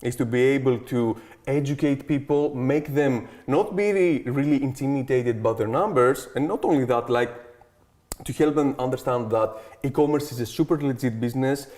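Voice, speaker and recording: Picture a man in his thirties whose Greek accent colors every word. His pitch sits right in the middle for a man. He talks as a guest in a casual interview, eager and sure of himself, and keeps tossing in "okay" and "like" as he goes. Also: moderate pace, a little mellow.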